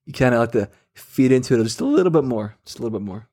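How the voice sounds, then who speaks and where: A man's voice: medium pitch, animated, rapid-fire, mellow. A man hosting a casual phone interview with a teasing, bantering way of talking. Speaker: host of a call-in segment.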